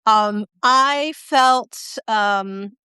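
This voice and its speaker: Woman, 50s, interview guest, dry close sound, thoughtful and unhurried. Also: a little high, pushed and bright, smooth, no echo. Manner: reflective, many ums and restarts. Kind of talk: casual interview chat. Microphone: video call.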